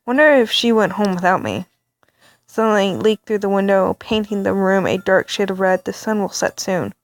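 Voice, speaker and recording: A woman reading a story game aloud, with animated reactions and stammered asides. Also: mid-range voice, moderate pace. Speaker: let's play reader.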